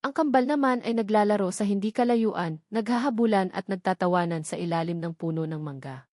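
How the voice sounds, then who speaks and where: A Filipino woman reads a dramatic story in a mid-range voice. Her phrasing is measured, with pauses placed for weight. The recording is dry, with very little room sound. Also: medium pitch, a little mellow, no room sound. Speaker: audiobook narrator. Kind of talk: scripted dramatic story narration.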